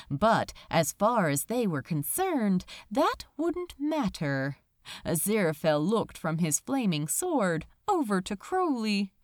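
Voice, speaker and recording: A woman reading fiction aloud in an expressive, audiobook-style narration. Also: medium pitch, no room sound, studio quality. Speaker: fan fiction reader.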